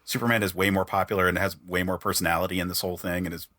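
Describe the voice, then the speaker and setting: A man's voice: sits low, level tone, racing, bright, forceful tone, no room sound. A man hosting a podcast, chatting casually in a rambling way full of filler words. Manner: rambling, peppered with "like". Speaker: podcast host.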